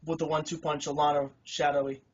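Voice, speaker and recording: A man's voice: fairly high pitch, level tone, quick pace. A man being interviewed about his win, modest and hesitant, with frequent fillers. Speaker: tournament winner being interviewed. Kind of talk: casual interview. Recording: lo-fi recording.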